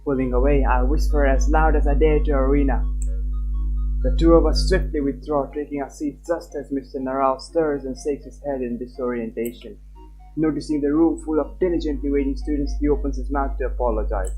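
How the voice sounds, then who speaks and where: A streamer reading a visual novel aloud live and chatting casually with viewers. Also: male voice, medium pitch, soft background music, rough recording. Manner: animated, chatty, quick laughs.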